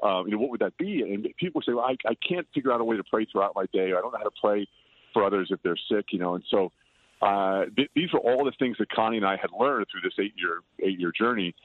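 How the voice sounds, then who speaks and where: An older man chatting as an interview guest, loud-voiced, rambling and self-deprecating. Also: lowish voice, fast talker, dry recording. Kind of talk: casual interview chat. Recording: tinny dry phone line.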